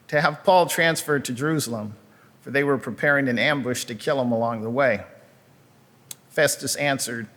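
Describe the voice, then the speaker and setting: A male pastor preaching a sermon, reading scripture and adding relaxed, conversational asides with frequent fillers, recorded in a church sanctuary. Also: medium pitch, in a large hall.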